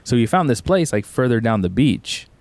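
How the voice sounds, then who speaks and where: A man's voice: medium pitch, very animated, normal speed, darkish tone, no echo. A young man from Tucson, co-hosting a podcast and telling a rambling, laid-back story, often saying "bro". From Tucson, Arizona. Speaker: podcast co-host. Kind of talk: casual storytelling chat.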